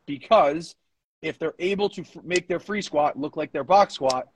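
A man's voice: on the high side, normal speed, breathy edge, no echo, low-quality recording. A man co-hosting a podcast in casual discussion, giving coaching opinions and repeating his points for emphasis.

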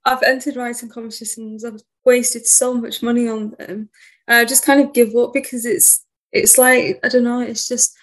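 A woman poet being interviewed in a casual chat, shy and soft-spoken, rambling a little as she talks. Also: fairly high pitch, little pitch movement, normal speed. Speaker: poet guest.

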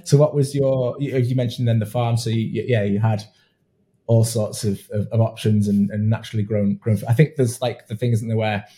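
A man's voice: fairly low pitch, steady tone, a little fast, mellow. A man hosting an interview, chatty and affirming, often restarting his sentences. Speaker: podcast host. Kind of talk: conversational interview.

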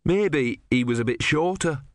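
A man's voice: a little high, expressive, measured pace, dry recording, clean studio sound, voice pushed up. A man voicing an earnest, devout young believer who is insistent and easily outraged, in a storybook reading. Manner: insistent, indignant, pious.

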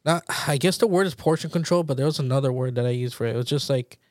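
A man's voice: medium pitch, fast talker, darkish tone, dry recording, clean studio sound. A man in his late twenties chatting casually as a podcast co-host, informal, slangy and self-deprecating. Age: late 20s. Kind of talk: casual chat between friends.

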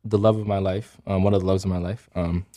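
A young man on a podcast, chatting casually and animatedly, often jumping into excited bursts. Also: sits low, quick pace, no echo. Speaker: podcast co-host, aspiring rapper.

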